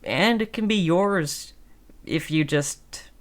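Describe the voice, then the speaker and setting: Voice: mid-range voice, slow pace, lightly acted. A performer playing a friendly, chatty undead zombie who wheedles in casual slang, in a scripted audio roleplay. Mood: cajoling. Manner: casual, cajoling, slangy salesman-like patter.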